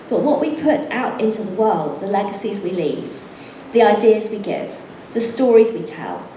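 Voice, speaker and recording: A woman's voice: mid-range voice, warm tone, echoey room, slight background noise, poor audio. A middle-aged woman author reading from her book and then chatting, self-deprecating and laughing often. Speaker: author and guest. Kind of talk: reading aloud then conversation. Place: event venue.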